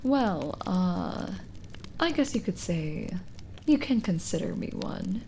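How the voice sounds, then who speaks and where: A woman playing a lonely, kindly witch in an intimate ASMR roleplay, speaking softly and breathily with a gentle teasing manner, often near a whisper. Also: lowish voice, big pitch swings, creaky, a little hiss or hum, full character voice. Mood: coy, flattered. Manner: slow, hesitant, gently teasing.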